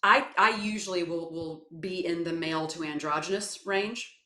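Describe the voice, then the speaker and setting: A woman from South Carolina with a naturally low voice, giving thoughtful, hesitant interview answers full of fillers and restarts. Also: moderate pace, bright, forceful tone. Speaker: guest, voice coach and actor. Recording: echoey room.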